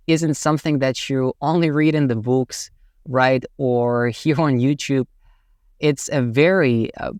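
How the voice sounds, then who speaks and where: Russian-accented young man with a smooth voice. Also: medium pitch, no echo, studio quality. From Tatarstan, Russia. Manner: thoughtful, with many fillers like "you know" and "right". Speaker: English teacher, channel host. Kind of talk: reflective talk to camera.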